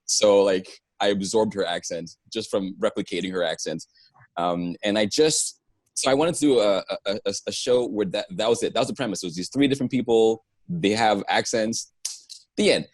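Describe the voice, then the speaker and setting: A man's voice: lowish voice, normal speed, no room sound. Man from Nigeria, chatty guest on a video call, rambling, dry close sound. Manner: animated, laughing, rambling. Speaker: guest, singer and actor. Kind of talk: casual chat. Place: home room indoors. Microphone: earphone mic on a video call.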